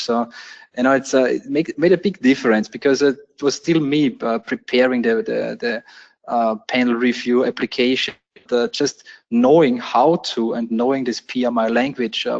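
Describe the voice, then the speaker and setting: A man's voice: mid-range voice, creaky, muffled recording. A man from Austria with an Austrian German accent, a German native speaker talking casually and hesitantly as a guest on a webinar, with many fillers and restarts. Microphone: webinar call audio.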